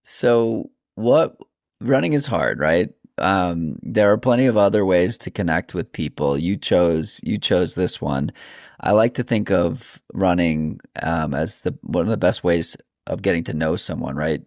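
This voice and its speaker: A man's voice: sits low, lively intonation, unhurried, a little mellow, no echo, clean studio sound. A man hosting a podcast, interviewing his guest in a casual, conversational style.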